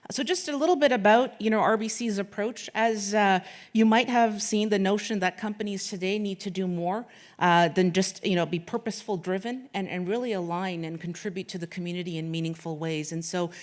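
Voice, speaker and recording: A woman's voice: mid-range voice, fairly even intonation, brisk. A woman in her late thirties, from Afghanistan, giving an earnest speech at an event with frequent restarts and fillers. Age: late 30s. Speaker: corporate sponsor speaker.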